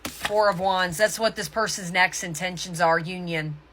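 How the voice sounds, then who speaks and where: A woman's voice: on the low side, a bit bright, slight background noise. A woman giving a casual tarot reading to viewers, speaking emphatically and often stopping to correct herself mid-thought. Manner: emphatic, halting and self-correcting. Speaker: tarot reader.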